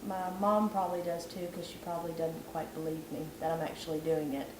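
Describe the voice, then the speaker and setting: Home cook losing her voice, rambling cooking vlog, lowish, distant camera mic in a roomy kitchen. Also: fairly even intonation, brisk. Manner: chatty, self-deprecating, rambling. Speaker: vlogger. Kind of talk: casual cooking tutorial. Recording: echoey kitchen, far from camera, background noise.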